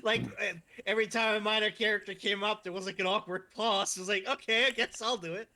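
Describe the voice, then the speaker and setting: A man's voice: sits high, steady tone, fast talker, sharp tone. A man chatting casually as a guest voice actor, often repeating phrases as he stammers along. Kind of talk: casual chat.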